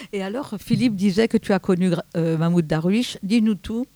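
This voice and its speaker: A man's voice: high voice, unhurried, dark tone, no echo. A man hosting a radio interview, asking informal, friendly questions. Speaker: host.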